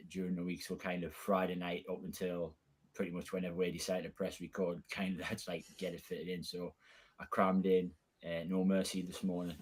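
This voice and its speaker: Very deep, flat, husky male co-host. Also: rough recording. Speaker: podcast co-host. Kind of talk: casual podcast chat.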